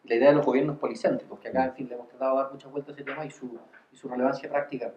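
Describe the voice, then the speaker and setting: Fast-talking male moderator, roomy sound. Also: mid-range voice, flat delivery, a little mellow. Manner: halting, repeats words, scholarly. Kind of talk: academic discussion, long questions to a guest. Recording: roomy, dull sound with faint noise.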